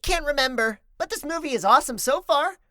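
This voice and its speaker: A woman's voice: high-pitched, animated, fairly quick, bright, forceful tone, dry recording, studio quality. Woman playing a bubbly, gushing young woman. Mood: excited.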